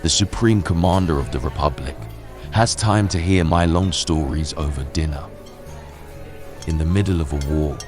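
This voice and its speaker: A man acts out a weary spy in a sci-fi audiobook. The voice is low and scratchy, the manner wry and offhand, with clear music underneath. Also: normal speed. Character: weary, wry male secret agent, grown son. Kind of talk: audiobook character voice. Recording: music behind the voice.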